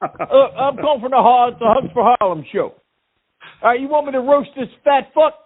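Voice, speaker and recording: A man's voice: very high-pitched, expressive, moderate pace, husky, heavily acted. A man playing a brash, profane caller persona who repeats himself and hurls insults. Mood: combative. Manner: repetitive, profane, trash-talking. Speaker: prank caller. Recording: tinny phone line.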